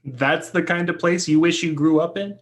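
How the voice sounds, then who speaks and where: A man acts out a cautious, buttoned-up screenwriter in a stage drama. His voice sits a little high for a man. He is polite but guarded and hesitant, with exasperation close beneath. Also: steady tone, moderate pace, natural voice. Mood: puzzled. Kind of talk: play reading, stage drama dialogue.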